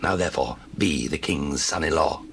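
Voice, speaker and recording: A man's voice: very deep voice, normal speed, fairly bright tone, a little hiss or hum. An actor playing a jealous, scheming king of ancient Israel in a dramatized Bible reading, solemn and commanding. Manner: solemn, archaic, commanding delivery.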